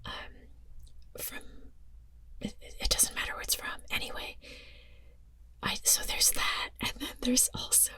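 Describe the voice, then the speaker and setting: A woman whispering an ASMR reading from inside a small closet, breathy and unvoiced, with self-conscious rambling asides. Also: measured pace, long pauses, sharp tone. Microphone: home mic, headphones on.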